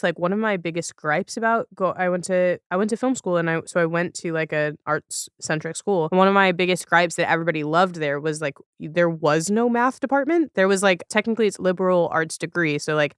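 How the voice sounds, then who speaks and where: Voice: medium pitch, a bit bright, clean tone, dry recording, clean studio sound. A podcast host in a casual interview chat, talking in a rambling, reflective way.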